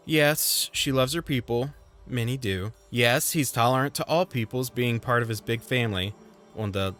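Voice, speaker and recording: A man's voice: medium pitch, a bit bright. A man hosting a scripted video game review, narrating with a wry, slightly theatrical manner. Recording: music behind the voice. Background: music.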